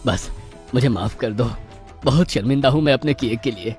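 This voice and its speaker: A man's voice: mid-range voice, moderate pace, a little mellow, faint music behind, natural voice. A man playing a greedy village master in a cartoon story, speaking in a dramatic, emphatic way. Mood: remorseful. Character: greedy, cruel male village master, self-pitying when cornered. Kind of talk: Hindi cartoon story voice acting.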